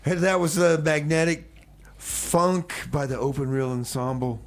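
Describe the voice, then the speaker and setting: A man's voice: a little high, flat delivery, a little slow. A man hosting a radio show with casual, rambling banter, often repeating himself as he announces songs and chats. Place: radio studio.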